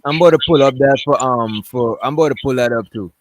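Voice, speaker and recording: A man hosts a loose, rambling discussion stream and keeps talking while he scrolls and pulls things up. His voice sits at an everyday pitch and sounds close and dry, with no room echo at all. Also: quick pace. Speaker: stream host.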